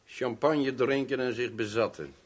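An actor playing a bitter, reluctant household employee in a 1970s radio drama, curt, formal and disdainful. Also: male voice, medium pitch, unhurried, lightly acted. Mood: curt, resentful.

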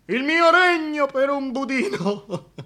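A man's voice: expressive, slow, exaggerated voice. An actor playing a vain, self-pitying tyrant king in a theatrical, declamatory radio drama monologue, volatile and contemptuous. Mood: wistful, manic. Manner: declamatory, volatile, contemptuous, swinging from hushed to shrill.

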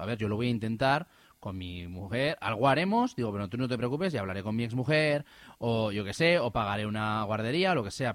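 A man's voice: medium pitch, dry recording. Spanish man telling an indignant story. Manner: animated, indignant storytelling with many restarts. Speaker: radio host. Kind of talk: casual radio chat.

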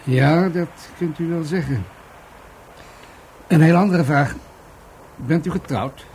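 A polite, creaky-voiced detective. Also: male voice, a little high, darkish tone, a little hiss or hum, rough recording. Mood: probing, calm. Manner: courteous, measured questioning. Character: polite, probing police detective on a visit. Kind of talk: Dutch radio play acting.

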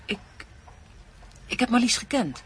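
A woman's voice: a little high, animated, slight background noise. An actress playing a troubled, guarded woman in a radio drama, speaking hesitantly. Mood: polite, guarded. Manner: hesitant, halting. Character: troubled, distrustful woman making guarded inquiries. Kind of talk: Dutch radio drama acting.

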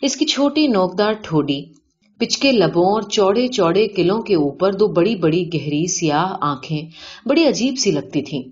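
Low-pitched woman narrating, dull recording. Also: animated. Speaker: audiobook narrator. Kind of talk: scripted short story narration. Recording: dull, band-limited audio.

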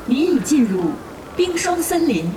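A woman voicing a calm game system announcer in a formal, measured manner for an audio drama. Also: high-pitched, background noise. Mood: neutral announcing. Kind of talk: scripted game notifications. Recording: echoey, music loud underneath. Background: loud music.

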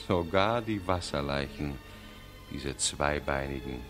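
Deep, scornful non-human observer of mankind. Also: male voice, animated, slow, full character voice. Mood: musing scorn. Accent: German. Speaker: radio drama actor. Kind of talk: 1940s German radio play monologue. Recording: vintage 1940s radio recording with music.

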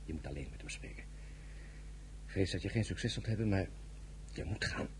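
An actor playing a forthright, indignant general in a radio drama, speaking in an emphatic, formal manner. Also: male voice, sits low, lively intonation, fairly quick, a little hiss or hum. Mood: sober. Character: Bernadotte, forthright, indignant general, husband and father. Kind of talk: Dutch radio drama acting.

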